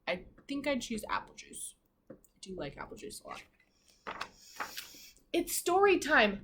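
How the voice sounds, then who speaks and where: Woman teacher with a lively, swooping read-aloud voice. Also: high voice. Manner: expressive, asks the children questions directly. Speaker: primary school teacher reading aloud to pupils. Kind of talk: animated read-aloud of a children's picture book with asides.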